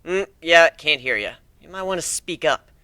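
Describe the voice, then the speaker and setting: A man's voice: fairly high pitch, lively intonation, moderate pace, sharp tone, clean tone, no echo. A man voicing a cocky, menacing male griffin warrior, taunting and sarcastic, in a dramatic fantasy story reading.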